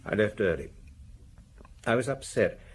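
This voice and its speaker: A man acting a troubled, tipsy murder suspect confessing haltingly in a British radio drama, with a British accent. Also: lowish voice, lively intonation, a little hiss or hum. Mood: wistful, troubled. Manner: hesitant, halting, rambling.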